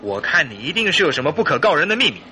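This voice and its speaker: An actor playing a suspicious, wounded and hot-tempered husband in an old-fashioned radio drama, speaking dramatically. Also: male voice, a little high, sing-song, rapid-fire, faint music behind, exaggerated voice. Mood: angry. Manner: forceful, accusing, dramatic.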